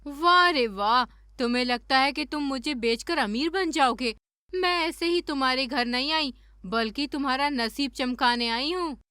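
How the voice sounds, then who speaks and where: Woman voicing a high, bright, cunning golden lizard. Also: moderate pace, no echo, clean studio sound. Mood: sly, taunting. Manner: taunting, boastful, theatrical. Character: cunning, menacing magical golden lizard, female. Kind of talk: Hindi cartoon story dubbing.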